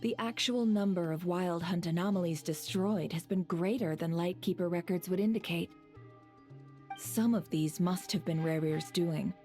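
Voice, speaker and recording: A woman playing a composed, shrewd female schemer in an anime game, speaking in a measured, formal, knowing way. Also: fairly low pitch, a little mellow, close to an everyday voice. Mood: analytical. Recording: music playing behind the voice.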